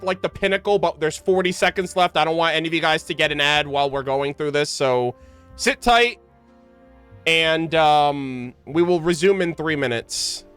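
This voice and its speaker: A man's voice: a little high, a bit bright, clear, resonant tone. A man giving casual, slangy live game-stream commentary and chatting to his viewers. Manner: slangy, informal. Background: game music.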